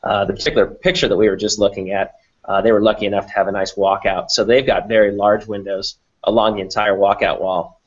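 Low-voiced man on a dull video call. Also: little pitch movement, fast, husky. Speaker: company president, interview guest. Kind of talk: explanatory interview answers on a video call. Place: small office room, indoors. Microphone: computer mic on a video call.

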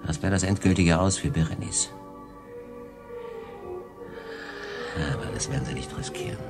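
An actor playing a weary, sardonic stranded solo sailor, brooding in a radio drama monologue with a dry manner. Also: male voice, deep voice, quick pace, music in the background. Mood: resigned. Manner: brooding, dry, talking to himself.

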